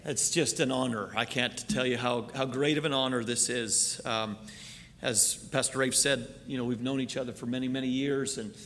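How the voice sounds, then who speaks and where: A middle-aged man raised in Canada, a missionary preacher opening a sermon in a church, earnest and halting, with many fillers. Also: medium pitch, steady tone, fairly bright tone, big-room sound, slight background noise. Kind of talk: sermon introduction. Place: church sanctuary.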